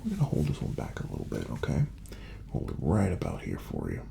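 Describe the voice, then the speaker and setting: A man speaking softly and breathily in an ASMR doctor roleplay, often slipping into a whisper as he gives gentle, reassuring, step-by-step instructions. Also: very deep voice, huge pitch swings, fast, creaky, slight background noise. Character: calm, courteous male doctor examining a patient. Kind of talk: soft-spoken ASMR medical exam roleplay.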